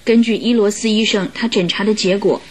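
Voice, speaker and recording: An actress as an earnest young woman, slightly husky. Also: mid-range voice, fairly even intonation, a little fast, darkish tone, lightly acted. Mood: anxious, sorrowful. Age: young adult. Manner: hesitant, earnest, polite. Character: innocent, sorrowful young woman pleading for a friend. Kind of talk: Mandarin radio drama acting.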